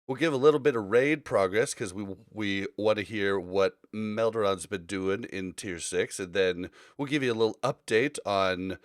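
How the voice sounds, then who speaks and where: A man's voice: lowish voice, normal speed, few pauses, dry recording. A man hosting a casual gaming podcast, speaking conversationally into a close mic. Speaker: podcast host.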